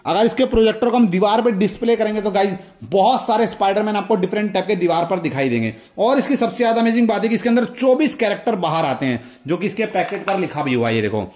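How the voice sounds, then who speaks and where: High-pitched, fast-talking Indian man, excited. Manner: enthusiastic, repetitive, addressing viewers directly. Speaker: toy review channel host. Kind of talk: excited toy unboxing commentary.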